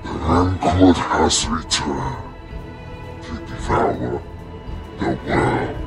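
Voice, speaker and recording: A very low-voiced, menacing plant monster in an audio drama. It is ancient, hungry and contemptuous, drawing out its pompous words very slowly over prominent music. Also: male voice, heavily acted. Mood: gloating menace. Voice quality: deep, menacing. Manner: slow, pompous, theatrical villain delivery. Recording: music behind the voice.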